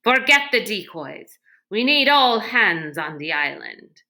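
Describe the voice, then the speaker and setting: A woman's voice: mid-range voice, sing-song, takes it slowly, bright, forceful tone, exaggerated voice. A woman voicing an angry villainous male leader in a storybook, snarling harsh orders with contempt.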